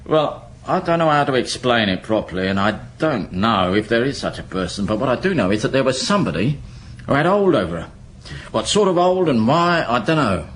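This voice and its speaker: A man's voice: medium pitch, big pitch swings, brisk, natural voice, low-quality recording. Male, actor playing a laconic club proprietor for radio crime drama; hesitant delivery, swinging intonation, background noise. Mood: puzzled, hesitant. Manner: casual, hesitant. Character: laconic, hesitant male nightclub owner in Paris. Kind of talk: radio detective drama acting. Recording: clear background noise and ambience.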